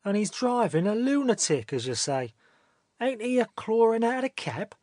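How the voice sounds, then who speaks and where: Woman voicing a stout old bystander, gossipy and rough, sing-song swings in pitch, dry close read. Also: very animated, normal speed, a little mellow, no room sound. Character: stout old London cabman-type onlooker. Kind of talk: audiobook character voice.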